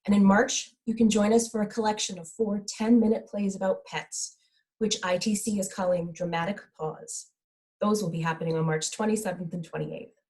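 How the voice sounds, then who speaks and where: A woman's voice: medium pitch, steady tone, moderate pace, a little mellow, low-quality recording. A woman announcer reading a scripted welcome to introduce a theater production.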